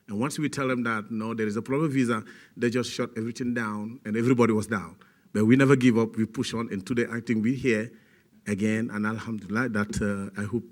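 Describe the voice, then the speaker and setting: Man, CEO, impromptu remarks, many fillers. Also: mid-range voice. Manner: non-native phrasing, searching for words with many fillers. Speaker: CEO of a nonprofit.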